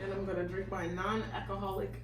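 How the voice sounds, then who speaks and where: A woman co-hosting a casual relationship chat, playful and quick to laugh and tease. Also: mid-range voice, flat delivery, echoey room, background noise. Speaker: co-host.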